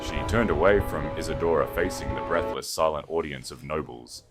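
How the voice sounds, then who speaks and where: A man's voice: a bass voice, a little fast. A man reading a scripted fantasy romance as a dramatic audiobook narrator, with music behind the narration.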